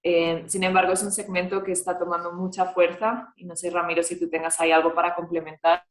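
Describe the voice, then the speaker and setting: A woman speaking as a guest expert on hotel data, answering webinar questions over a video call in a measured, careful way. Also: lowish voice, monotone. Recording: echoey room.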